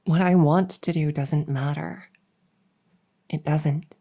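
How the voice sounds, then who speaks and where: A young princess, acted by a woman in an intimate audio drama, weary with duty and longing for freedom. Her voice is low for a woman, soft and breathy. She talks slowly and hesitantly, in a conversational way. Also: steady tone, full character voice. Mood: resigned, conflicted. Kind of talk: intimate audio roleplay acting.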